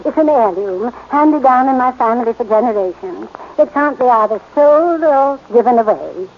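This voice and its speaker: A woman's voice: on the high side, animated, moderate pace, slight background noise. An actress playing a genteel, earnest seventy-year-old society lady with dainty, fluttery manners, on a muffled old radio recording. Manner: polite, fluttery, dainty phrasing. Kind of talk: 1940s radio acting.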